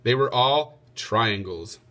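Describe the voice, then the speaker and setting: A man reads a children's story aloud as a teacher would. His voice is bright and forceful, set at a middling pitch. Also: expressive, takes it slowly.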